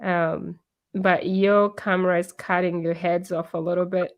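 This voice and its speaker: A woman with a hoarse voice from being sick, hosting a casual live-streamed interview over a video call. She giggles often and chats loosely with many fillers. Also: fairly low pitch, flat delivery, unhurried, very noisy. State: hoarse from illness. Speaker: interview host. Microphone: computer mic on a video call.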